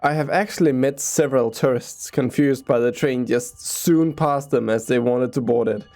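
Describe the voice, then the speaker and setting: A man's voice: mid-range voice, moderate pace, warm tone. A Danish man with a Danish accent, a native Danish speaker, talking in English as a transit vlogger. He explains things in an earnest, informal way. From Denmark.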